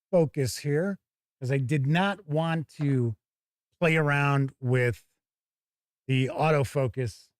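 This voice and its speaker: A man's voice: mid-range voice, no echo, clean studio sound. A man hosting a tabletop game review stream, chatting casually and thinking aloud as he comments on an unboxing.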